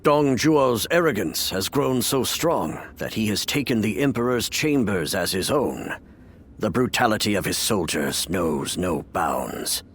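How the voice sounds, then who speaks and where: An actor playing a grave, remorseful imperial official and adoptive father in a video game, with measured, formal delivery. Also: fairly low pitch, sing-song, a little hiss or hum. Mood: grave, troubled. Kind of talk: video game cutscene acting.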